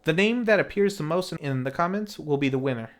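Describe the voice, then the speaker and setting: A man with a slightly high voice narrates a scripted film recap as a show host. He is tongue-in-cheek and pokes fun at himself as he goes. Music plays faintly underneath him. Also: expressive, a little fast. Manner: tongue-in-cheek, playfully self-mocking. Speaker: host and narrator of a retro lucha libre film review series.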